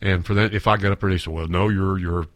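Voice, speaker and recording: Radio guy with a stuffed-up froggy nasal voice, lowish pitch, dry close studio sound, chatty. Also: steady tone, fast talker, a bit bright, no echo. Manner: chatty, laughs easily, stumbles over words. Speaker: radio host. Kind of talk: casual radio chat between songs.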